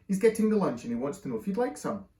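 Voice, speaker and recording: A man's voice: sits high, sing-song, rapid-fire, dark tone, recorded from a distance, pitched above the performer's own voice. A sensible, matter-of-fact girl, as a man reads her in a storybook, mostly close to his own voice. She reassures and explains plainly. A roomy echo surrounds her. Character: sensible helpful older girl.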